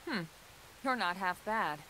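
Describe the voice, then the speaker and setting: A woman's voice: mid-range voice, expressive, measured pace, sharp tone, a little hiss or hum, poor audio. An actress playing a cool, confident young female soldier in a video game, speaking in a composed, conversational way. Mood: calm, businesslike. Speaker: video game character voice actor. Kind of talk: scripted video game cutscene acting. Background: background music.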